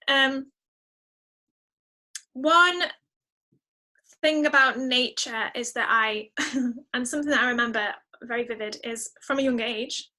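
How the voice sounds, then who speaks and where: High, bright-voiced young-sounding woman chatting. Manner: chatty, expressive, exclaiming. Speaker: podcast guest, musician. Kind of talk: casual interview chat.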